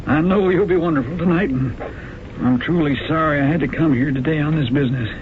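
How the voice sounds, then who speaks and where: An actor playing a courteous, halting government agent warning a woman she is being watched. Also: fairly high pitch, background noise, close to an everyday voice. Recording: tinny old radio broadcast.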